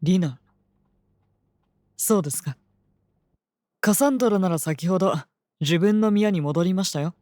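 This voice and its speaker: A composed knight, voiced by an actor with a mid-range pitch, speaking with formal courtesy and calm devotion. It is fantasy audio drama acting, recorded close and dry. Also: unhurried, long pauses, no room sound, lightly acted. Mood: composed, courteous.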